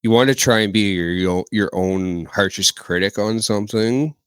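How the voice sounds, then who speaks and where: A man hosting a podcast, asking questions casually and often backing up his guest with quick agreement. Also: fairly low pitch, smooth, no room sound, clean studio sound. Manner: affirming, short agreeing replies. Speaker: podcast host. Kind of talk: casual interview chat.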